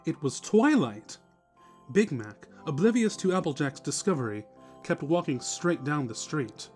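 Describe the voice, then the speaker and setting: A man's voice: on the high side, big pitch swings, unhurried, darkish tone. A man reading scripted story narration as the narrator of a dramatic reading. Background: music.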